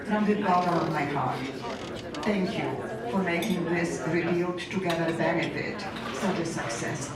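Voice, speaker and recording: A woman's voice: lowish voice, unhurried. Polish-accented woman in a very echoey hall. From Poland. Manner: self-deprecating, joking. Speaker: event sponsor giving a speech. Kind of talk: informal benefit speech to an audience. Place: large event room with guests at tables. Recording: echoey hall, distant mic, loud crowd.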